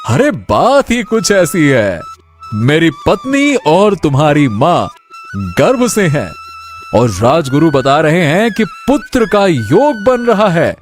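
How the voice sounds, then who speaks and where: An exuberant, generous king in a cartoon tale. His voice sits high and swoops wildly from note to note. He takes his words slowly and effusively, over soft music. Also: big pitch swings. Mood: jubilant. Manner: exuberant, effusive, frequent laughter. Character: jubilant, generous king and proud expectant father. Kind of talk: Hindi cartoon story acting.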